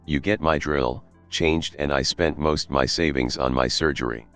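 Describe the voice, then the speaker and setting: A man narrating a Reddit story in a steady, even read. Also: very deep voice, moderate pace, smooth. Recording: faint background music.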